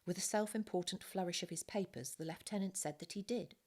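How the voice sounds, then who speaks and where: A woman with a low-pitched voice narrates a storybook. She reads scripted prose as an audiobook reader. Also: fairly quick, a little mellow.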